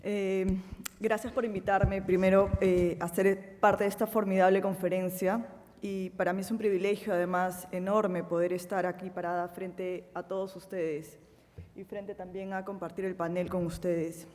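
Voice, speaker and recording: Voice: mid-range voice, little pitch movement, fairly quick, darkish tone. A panelist from Peru with a Peruvian Spanish accent, giving a formal conference speech in a solemn, emphatic way. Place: large conference hall. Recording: long hall reverb.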